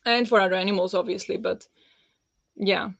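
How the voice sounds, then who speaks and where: A woman chats easily on a book live stream, answering viewers with plenty of fillers and little laughs. Her voice sits at a middling pitch. The sound is dry and a bit dull, like a plain home setup. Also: steady tone, normal speed, gravelly, no echo. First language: Slovak. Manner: chatty, warm replies to viewers with frequent fillers and laughs. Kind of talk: live-stream chat reading out comments.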